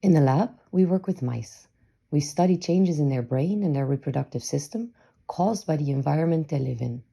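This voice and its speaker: Female narrator for scripted explainer, low-pitched and noticeably husky. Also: expressive, normal speed, dark tone.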